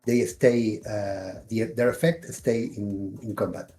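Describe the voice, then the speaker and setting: A game developer from Argentina speaks English with an Argentine Spanish accent, his voice warm and relaxed. He explains things haltingly, restarting words and laughing lightly, with faint music in the background. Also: on the low side. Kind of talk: casual video call interview.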